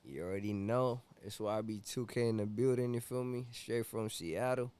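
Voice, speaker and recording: A man's voice: on the low side, fairly even intonation, moderate pace, a little mellow, smooth. A young man from Ethiopia, a rapper guest in a casual interview, talking laid-back and slangy with constant "you feel me" fillers.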